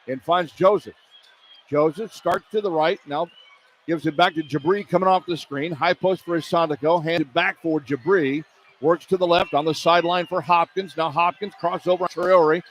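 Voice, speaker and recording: A man gives live radio play-by-play of a basketball game in a somewhat high voice. His phrasing is clipped and keeps running with the action, punching up on big plays. Faint arena noise sits behind him. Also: fairly bright tone. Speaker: play-by-play announcer. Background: arena ambience.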